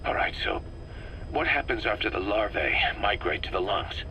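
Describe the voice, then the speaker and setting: An actor playing a gruff, guarded military commander in a video game, with terse, clipped phrasing. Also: male voice, lowish voice, expressive, moderate pace, pushed and bright. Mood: concerned, businesslike. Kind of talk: dramatic video game voice acting. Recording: faintly noisy taped field recording.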